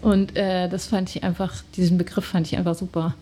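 A woman's voice: fairly low pitch, level tone, fairly quick, mellow. A middle-aged woman from Germany, a guest telling her story in casual conversation, hesitant with many fillers.

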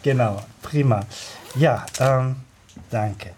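A man's voice: mid-range voice, slow, darkish tone, a little hiss or hum. A man hosting a radio studio interview, talking hesitantly with many fillers. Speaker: radio host.

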